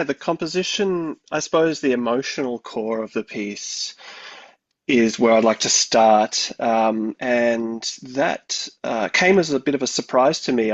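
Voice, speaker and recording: A man's voice: medium pitch, no echo, dull audio. Rambling Australian man in his thirties. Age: 30s. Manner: thoughtful, rambling, many fillers. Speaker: composer guest. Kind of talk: interview answers.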